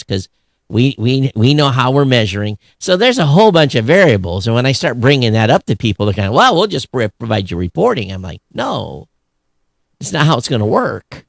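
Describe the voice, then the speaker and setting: A man's voice: mid-range voice, vocal fry, no echo, studio quality. A man hosting a podcast in casual, emphatic discussion, often voicing both sides of an imagined exchange. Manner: emphatic, acts out imagined dialogue. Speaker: podcast host.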